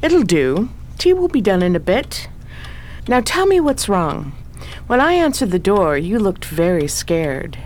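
An actress playing a shrewd, self-assured woman sleuth in a radio drama, with a brisk, knowing, wry delivery. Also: medium pitch, big pitch swings, darkish tone, a little hiss or hum. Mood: hospitable. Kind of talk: old-time radio drama acting.

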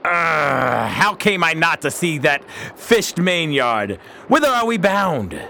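Guy acting a stubborn captured ship captain, slowish, voice on the high side, old-timey radio drama. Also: sing-song, gravelly, bright, forceful tone, a little hiss or hum, heavily acted. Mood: grim, defiant. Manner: dramatic, resolute, old-fashioned phrasing. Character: defiant, stubborn male captive sea captain.

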